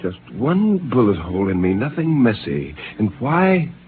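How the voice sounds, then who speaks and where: A bitter, brooding rebel astronaut. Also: male voice, on the low side, very animated, a little slow. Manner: brooding, defiant, intense inner monologue. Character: bitter, disillusioned male astronaut turned rebel. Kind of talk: 1950s radio drama acting. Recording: hissy, lo-fi 1950s radio broadcast.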